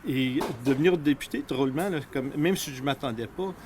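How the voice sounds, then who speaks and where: A man's voice: medium pitch, brisk, music in the background. A man with a Canadian French accent, answering interview questions in a hesitant way with many fillers. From Ottawa, Canada. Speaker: political candidate.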